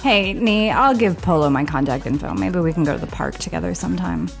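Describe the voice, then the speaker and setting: An actress playing a blunt, intimidating female colleague in a sci-fi audio drama, with a gravelly, husky voice. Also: lowish voice, animated, a little fast, faint music behind. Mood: friendly.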